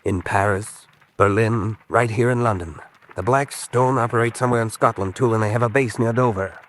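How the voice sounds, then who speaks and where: An actor playing a desperate, hunted American spy in a radio drama, pleading with urgent intensity. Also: male voice, fairly low pitch, moderate pace, slight background noise, lightly acted. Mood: insistent.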